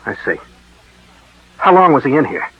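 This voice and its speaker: A man's voice: mid-range voice, very animated, normal speed, breathy edge, no echo, heavily acted. An American man playing a defensive police detective who was knocked out, in 1940s radio crime style. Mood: probing. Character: dazed, defensive young police detective, knocked out and under suspicion. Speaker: radio actor. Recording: noisy, muffled old radio broadcast.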